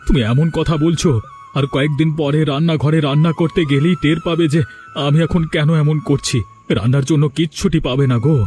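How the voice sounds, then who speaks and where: A man's voice: on the high side, fairly even intonation, a little fast, darkish tone, faint music behind, natural voice. Plaintive worried farmer husband voiced by a man. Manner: plaintive, lamenting. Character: worried, despairing village farmer husband and father. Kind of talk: Bengali cartoon dialogue dubbing.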